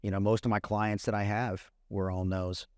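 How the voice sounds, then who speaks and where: A low-voiced man in his thirties chats as an interview guest, relaxed and easygoing. He often says "you know" and "man". The recording is dry and close, with no room sound. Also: moderate pace, no echo. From Puerto Rican family, raised in California. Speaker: guest, celebrity content creator. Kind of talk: casual interview chat.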